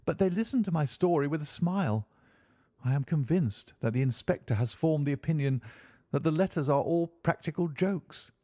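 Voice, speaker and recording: A man reads as a frightened young gentleman, his voice lifted well above a mellow, dry baseline and pitched with earnest pleading. The helpless, anxious tone holds steady throughout. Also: no room sound. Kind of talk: audiobook character reading.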